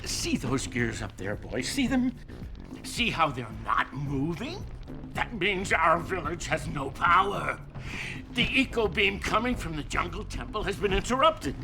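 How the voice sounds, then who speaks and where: Nervous greedy mayor, stammers, slow and bouncy, music behind. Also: male voice, fairly high pitch, very animated. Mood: fretful. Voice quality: stammering, wheedling. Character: flustered middle-aged male village mayor, greedy. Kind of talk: video game cutscene acting.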